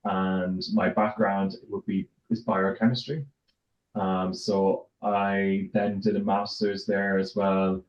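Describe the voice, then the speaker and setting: A middle-aged Irish man talks casually as a guest in an interview. His voice sits on the low side. The recording is roomy and echoing, with a dull, lo-fi tone. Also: little pitch movement, takes it slowly. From Ireland, raised in County Tipperary. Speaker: interview guest, tennis tournament director. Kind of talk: casual conversational interview answers. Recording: roomy echo, dull lo-fi sound.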